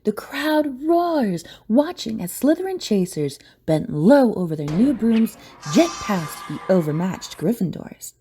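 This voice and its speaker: A woman's voice: on the high side, big pitch swings, measured pace, few pauses, warm tone, faint music behind. A woman narrator reading a scripted story dramatically for an audio play.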